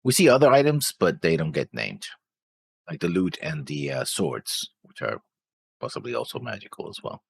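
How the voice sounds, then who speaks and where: Gravelly, animated man musing on theories. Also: sits low, normal speed, no room sound. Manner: hesitant, thinks aloud and floats theories. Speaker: anime reaction show co-host. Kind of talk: casual discussion.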